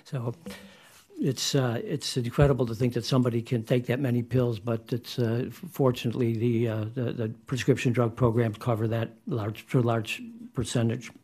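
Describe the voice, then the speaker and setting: A man's voice: mid-range voice, little pitch movement, moderate pace, dark tone, slightly rough. An older man reading testimony at a hearing, hesitant with fillers and restarts. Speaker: hearing witness.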